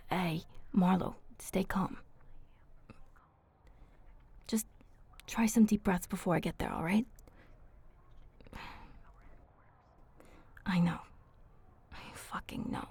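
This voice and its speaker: A woman voicing a flirty, protective female vampire in a breathy, husky, intimate ASMR roleplay. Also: on the low side, dark tone, no echo, full character voice. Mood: urgent, reassuring. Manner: intimate, coaxing, casual slangy speech.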